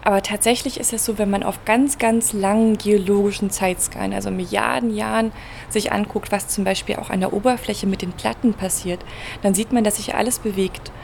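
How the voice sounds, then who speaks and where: A woman's voice: medium pitch, fairly even intonation, fairly quick, vocal fry, darkish tone, slight background noise. A woman from Berlin, a planetary scientist, explaining her research in a conversational interview with patient everyday examples and some fillers. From Berlin, Germany. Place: event venue.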